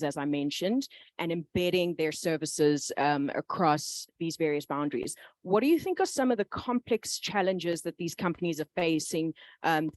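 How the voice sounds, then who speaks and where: Lady moderating a panel, kinda low voice, says um a lot, dead dry sound. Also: no room sound. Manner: polite, with frequent "um".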